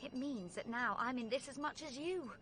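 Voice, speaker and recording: An actress playing a plucky, excitable young Englishwoman with an English accent, in the style of a vintage radio play. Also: high-pitched, normal speed. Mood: brave, urgent. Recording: noisy vintage radio drama recording.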